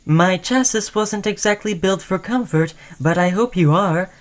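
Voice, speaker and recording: Female robot companion, acted by a woman, friendly and devoted, chatty ASMR roleplay, mid-pitched. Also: measured pace, lightly acted. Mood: friendly, reassuring. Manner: chatty, soothing, addressing listener as human friend. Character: friendly, caring, obsessively devoted sentient robot crewmate. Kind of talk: scripted ASMR roleplay addressed to the listener.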